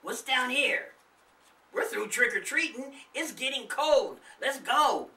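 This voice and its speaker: A man's voice: extremely high pitch, animated, slow pace, sharp tone, reverberant, far from the mic, pitched above the performer's own voice, full character voice. A man voicing a nervous, reluctant boy who is wary in a spooky story.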